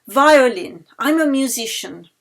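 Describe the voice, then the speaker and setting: A woman's voice: high voice, lively intonation, measured pace, fairly bright tone, voice pushed up, heavily acted. A woman reading an audiobook as an anxious, protective, earnest woman violinist who pleads. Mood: guarded, calm. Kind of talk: audiobook character dialogue.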